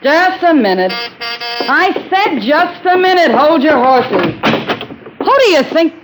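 A woman's voice: high-pitched, sing-song, slow, over music, exaggerated voice, poor audio. An actress in an old-time radio drama playing a brassy, anxious young woman who works as an assistant. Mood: irritated.

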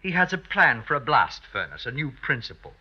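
An actor playing an oily, scheming, falsely deferential family friend in an old-time radio drama, with theatrical, unctuous hesitations. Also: male voice, mid-range voice, sing-song, fairly quick, slightly rough. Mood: mock sympathy. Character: oily, scheming, obsequious male friend of the husband. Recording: dull old radio recording.

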